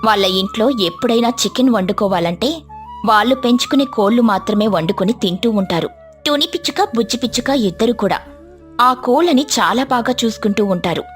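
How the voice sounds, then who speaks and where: A woman's voice: a little high, animated, brisk, soft background music. A woman narrating a scripted children's story as a storyteller.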